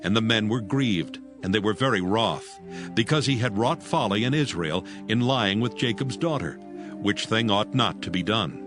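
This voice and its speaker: A man narrating scripture in a measured, solemn way. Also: fairly low pitch. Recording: music bed behind voice.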